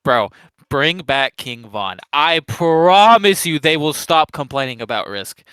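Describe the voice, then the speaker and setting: Bright-voiced joking man. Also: a little high, very animated, unhurried, clean tone, dry recording. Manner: joking, laughs easily. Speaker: co-host. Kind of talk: casual voice-chat banter.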